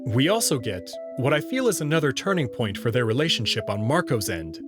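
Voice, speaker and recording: A man's voice: medium pitch, sing-song, brisk, music in the background. A man giving scripted narration and commentary as a host, with wry, playful asides. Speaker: host reading a visual novel and commenting on it.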